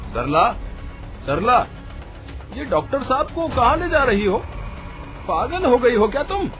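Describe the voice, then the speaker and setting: A man's voice: very high voice, very animated, a little slow, exaggerated voice. A man playing alarmed hospital men in a horror story, exclaiming urgently and repeating his words. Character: frightened, excitable men of a haunted hospital. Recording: tinny phone-like sound with music behind.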